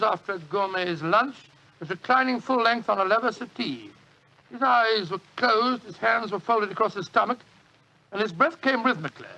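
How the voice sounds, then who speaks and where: An actor playing an elderly British doctor recounting a tale in avuncular, measured, literary phrasing, in 1940s radio drama style. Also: male voice, sits high, gravelly, pushed and bright, heavily acted. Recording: dull 1940s radio recording.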